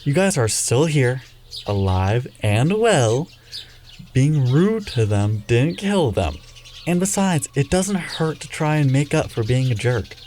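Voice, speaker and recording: Lively, carefree young man played by an actor. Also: mid-range voice, takes it slowly, a little hiss or hum. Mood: upbeat. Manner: laid-back, slangy, playful. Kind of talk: radio drama acting.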